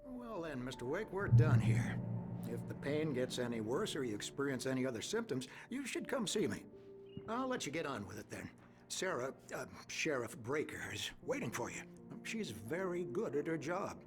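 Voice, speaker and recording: Game role: attentive small-town doctor. Kindly, slightly hesitant, animated pitch, music under the voice. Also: male voice, moderate pace. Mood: reassuring. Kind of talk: video game character acting. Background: background music.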